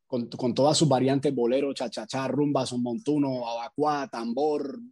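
A man, a singer answering interview questions reflectively, with measured phrasing. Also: mid-range voice, fairly even intonation, a crowd in the background. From Colombia. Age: 20s. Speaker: salsa singer, interview guest.

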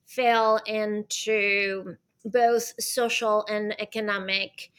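A woman from Venezuela, a guest on a podcast, talking in a lively, chatty way with many fillers like "right?". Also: medium pitch, very slow, smooth. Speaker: guest, arts consultant and author. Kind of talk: podcast interview.

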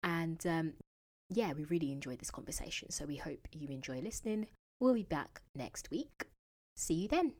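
Woman, co-host, husky, slightly low, enthusiastic, faint music. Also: animated, normal speed, a little mellow. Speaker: podcast co-host. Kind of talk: podcast intro and interview.

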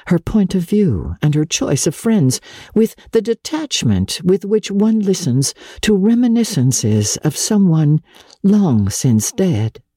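A woman gives a dramatic reading of literary prose in a mellow, husky voice with expressive, swooping pitch. Her delivery is measured and theatrical, shaped by deliberate pauses. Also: no echo, clean studio sound. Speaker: narrator, audiobook reader.

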